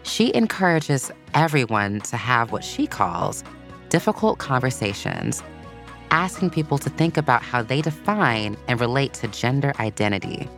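A narrator reading a scripted children's biography in an expressive, measured storytelling way. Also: mid-range voice. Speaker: podcast narrator. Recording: soft music bed behind voice. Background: background music.

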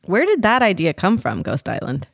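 A woman's voice: on the low side, wildly swooping pitch, moderate pace, creaky, dry recording, studio quality. A woman co-hosting a podcast, chatting casually and asking questions.

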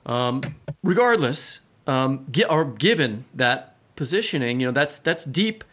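American man hosting, mid-pitched and halting. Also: big pitch swings, a bit bright, breathy edge. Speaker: podcast host, interviewer. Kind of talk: conversational interview.

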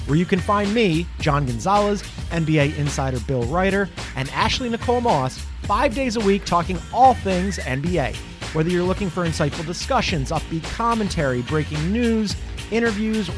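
An American man, a podcast host, reading a scripted promotional spot. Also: high-pitched. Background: music.

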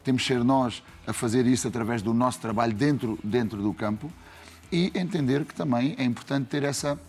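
A man with a European Portuguese accent, a football coach reflecting deliberately on his work in an interview. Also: mid-range voice, a little mellow. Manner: measured, deliberate explaining.

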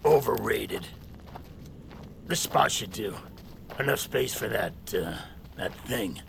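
An actor playing a blunt, dismissive sorcerer's henchman with a gravelly voice, in a fantasy audio drama. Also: lowish voice, lively intonation, slow, noisy background, heavily acted. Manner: blunt, unimpressed. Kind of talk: audio fiction drama acting.

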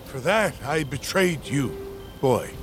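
An actor playing a humble, deferential lowland storyteller serving a young master in a fantasy audio drama, with formal, old-fashioned phrasing. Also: male voice, sing-song, takes it slowly. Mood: guilty, confessing. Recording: music under the voice.

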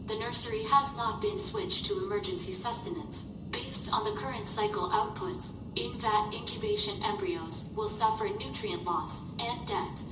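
A woman's voice: normal speed, few pauses, natural voice. A woman voicing an impassive medical computer aboard a spaceship in an audio drama, formal and clinical. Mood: clinical warning. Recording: distant, echoey, noisy with rumble.

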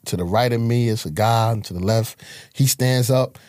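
A man's voice: mid-range voice, moderate pace, darkish tone, no room sound, clean studio sound. A man from Harlem with a New York accent, telling his life story in a casual, profane, streetwise way as an interview guest. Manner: streetwise, profane, acts out quoted voices.

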